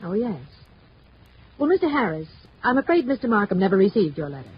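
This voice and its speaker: An actress playing a guarded, evasive office receptionist in a 1950s radio drama, polite and hesitant. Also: female voice, medium pitch, very animated, fairly quick, slight background noise, close to an everyday voice. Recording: dull old radio recording.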